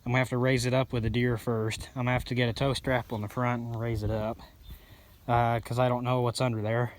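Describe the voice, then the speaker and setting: A man's voice: medium pitch, flat delivery, quick pace. A sniffly man narrating his repair work in a casual, rambling, blunt way, often correcting himself. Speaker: hobby mechanic vlogger.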